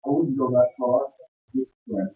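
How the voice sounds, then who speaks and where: A man's voice: mid-range voice, sing-song, normal speed, vocal fry. A man speaking up casually from the audience at a club meeting, explaining things in an informal way. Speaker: club member commenting from the audience. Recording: phone-like, echoey room pickup.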